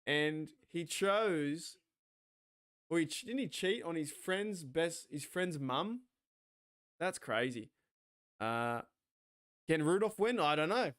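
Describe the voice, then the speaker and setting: An Australian man hosting a sports watch party stream, rambling excitably, swearing and talking back to his chat. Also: fairly high pitch, slow pace, pauses often. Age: 40s.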